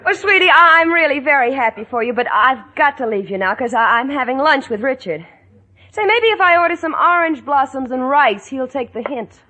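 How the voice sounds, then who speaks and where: A woman's voice: high voice, expressive, a little fast, pushed and bright. An actress playing a sensible, wry, affectionate young single woman in 1940s radio comedy style.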